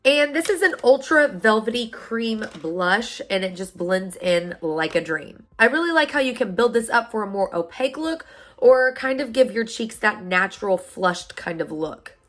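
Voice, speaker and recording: A woman in her thirties talks brightly to her viewers, her fairly high voice roughened and stuffed up by a sinus infection. She keeps an upbeat, chatty vlog manner, with soft music faint underneath. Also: lively intonation, normal speed. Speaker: beauty vlogger. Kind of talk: product unboxing review.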